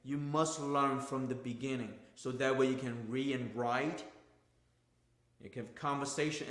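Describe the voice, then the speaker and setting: A man's voice: mid-range voice, reverberant. A male golf instructor, not a native English speaker, giving an emphatic, repetitive livestream talk in plain, non-native grammar.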